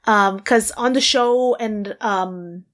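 A woman hosting a podcast, asking easy questions and agreeing in a relaxed, casual way. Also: on the high side, slow pace. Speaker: podcast host. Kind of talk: casual interview chat.

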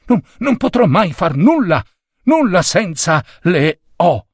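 A man's voice: sits high, wildly swooping pitch, measured pace, a bit bright, husky, no echo, studio quality, voice pushed up, exaggerated voice. Bob, a man doing a stammering panicked shop boy. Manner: stammering, breathless. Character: flustered young male print-shop boy.

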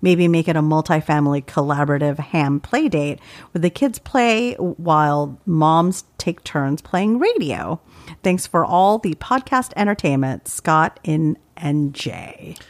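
A woman's voice: low-pitched, lively intonation, unhurried. A woman co-hosting a podcast, reading listener letters aloud in casual chat and laughing often. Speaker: podcast co-host.

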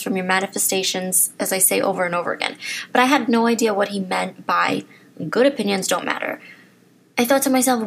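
Woman podcast host with a gravelly voice. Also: medium pitch, a bit bright. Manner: earnest, encouraging, speaks straight to listeners. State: losing her voice. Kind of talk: motivational solo podcast talk.

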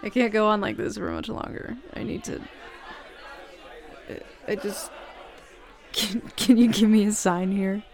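A woman playing a flustered, smitten, jealous roommate in an intimate roleplay audio, speaking haltingly and nervously. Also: medium pitch, fairly even intonation. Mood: desperate, hopeful. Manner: halting, nervous, stammering with breathy laughs. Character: flustered, smitten, jealous bad-girl roommate confessing a crush. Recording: bar crowd murmur behind voice.

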